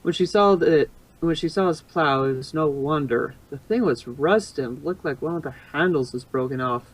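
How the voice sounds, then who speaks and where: A man's voice: on the high side, moderate pace, low-quality recording. A man reading fan fiction aloud as narrator, informal, sometimes stumbling and rereading a phrase. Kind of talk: casual storybook narration.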